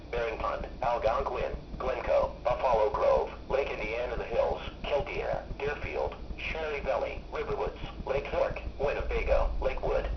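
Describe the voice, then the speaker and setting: An American man's synthetic-sounding voice reading a scripted emergency weather alert in a formal, impersonal way. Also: mid-range voice. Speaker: weather radio alert announcer. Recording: muffled, noisy weather radio broadcast, echoey.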